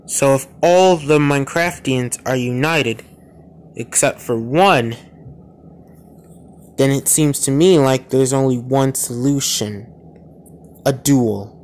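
A man voicing a stern, accusing Minecraft warrior leader in a slow, grave, deliberate manner for an amateur animation fandub. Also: mid-range voice, darkish tone, a little hiss or hum, lightly acted. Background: music.

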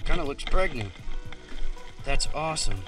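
A young man talks fast and eagerly as he reels in fish outdoors, his voice picked up a little distantly by a body-worn camera. Loud music plays underneath him the whole time. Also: mid-range voice. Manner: excitable, keeps narrating catches, says "y'all" and "dude". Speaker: fishing channel host. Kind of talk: excited casual play-by-play while fishing. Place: wooded creek bank outdoors. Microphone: head- or chest-mounted action camera.

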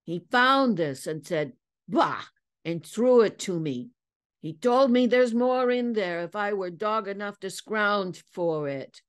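Woman putting on a scrappy, slow-talking little street girl. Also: medium pitch, animated, voice pushed up. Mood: defiant. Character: poor, hungry, ferocious dirty little street girl. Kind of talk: dramatic audiobook storytelling.

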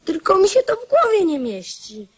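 A restless young boy in a radio drama. The voice is high, with a cheeky, grumbling delivery that suits a teasing friend who cannot sit still. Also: sing-song, moderate pace. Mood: apologetic.

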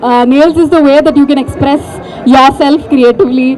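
A woman's voice: high-pitched, fairly even intonation, very slow, darkish tone, low-quality recording. A woman, giving an informal, somewhat hesitant launch speech. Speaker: technical head of a salon brand. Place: open atrium of a shopping mall, on stage. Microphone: handheld microphone.

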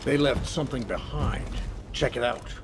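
A man playing a guarded, authoritative military squad leader in a video game cutscene, speaking in a measured way. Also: mid-range voice, noisy background, close to an everyday voice. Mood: tense, commanding.

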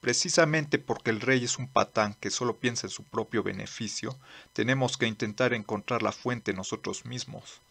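A man playing a blunt, earnest old lawman in a manga dub, speaking formally and deliberately. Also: mid-range voice, vocal fry, fairly bright tone. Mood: earnest, firm. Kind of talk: dramatic dubbing.

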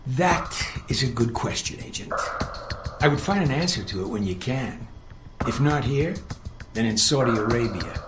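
An actor playing a cold, condescending older intelligence handler in a video game, speaking in clipped, precise, superior phrases. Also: male voice, mid-range voice, animated, moderate pace, natural voice. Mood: dry, condescending. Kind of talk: video game dialogue acting. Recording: roomy echo with music underneath.

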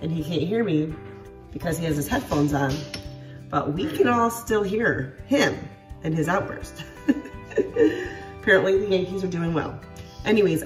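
Woman, 30s, congested, casual cooking chatter, lots of fillers, lowish voice. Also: far from the mic. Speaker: home cooking vlogger. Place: home kitchen and outdoor patio.

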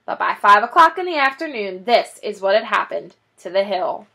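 A woman in her thirties reading a children's book aloud in an animated, dramatic way. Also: medium pitch, moderate pace, vocal fry, rough recording. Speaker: children's story reader. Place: small indoor room, classroom-like decor.

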